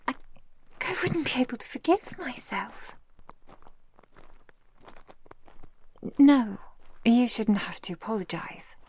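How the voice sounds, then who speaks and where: A woman voicing an affectionate, protective ancient vampire woman in a soft, intimate ASMR roleplay, with genteel old-fashioned phrasing. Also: medium pitch, gravelly, rough recording. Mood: earnest, protective.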